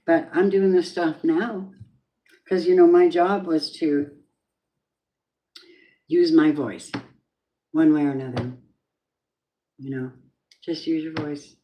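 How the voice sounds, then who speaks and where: Slow-talking low-voiced woman reader. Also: steady tone, lo-fi sound. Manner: chatty, rambling, drifting off topic. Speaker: tarot reader. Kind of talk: casual live-stream reading.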